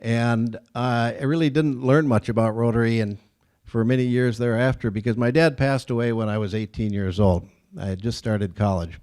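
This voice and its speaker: A man in his sixties from Minnesota, giving a folksy, self-deprecating speech at a club lunch, with many pauses and fillers. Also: mid-range voice, fairly even intonation, a little mellow, clear, resonant tone. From Minnesota, USA. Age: 60s. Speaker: Rotary district governor, guest speaker.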